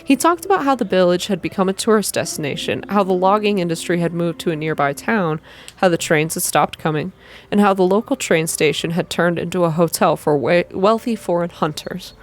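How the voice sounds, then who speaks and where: A woman reading a story aloud in an ASMR style, with expressive, deliberate first-person narration. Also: fairly low pitch, a little hiss or hum. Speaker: reader of a visual novel. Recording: soft music behind the voice. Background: background music.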